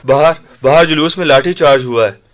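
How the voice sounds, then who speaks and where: An actor playing a brave, injured son in a radio drama, speaking in a hurried, urgent way. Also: male voice, fairly high pitch, lightly acted. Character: brave, injured adult son, defiant and reassuring.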